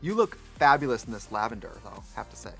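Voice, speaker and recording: A man hosting a casual chat show, talking in a playful, teasing, quick-witted way. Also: mid-range voice, big pitch swings, creaky, a bit bright, husky, soft background music, rough recording. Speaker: host.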